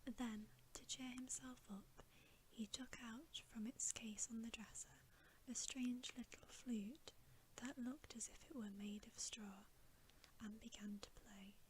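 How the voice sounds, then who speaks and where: A woman reading a bedtime story aloud as ASMR in a soft, breathy, unhurried whisper, close to the microphone. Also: a little high, steady tone, background noise.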